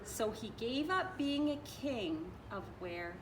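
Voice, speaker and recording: A woman reading a picture book aloud like a teacher, expressive, stretching and stressing her phrases for children. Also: on the high side. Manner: expressive, drawn-out phrasing with emphasis. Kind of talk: animated children's storytelling. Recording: distant mic with clear background noise.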